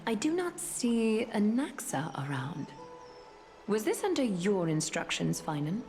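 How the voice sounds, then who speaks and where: A woman playing a solemn, regal leader in a video game, speaking in a stately, formal and deliberate way. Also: medium pitch, sing-song, darkish tone, faint music behind. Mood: composed, commanding. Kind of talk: English video game voice acting, formal and measured.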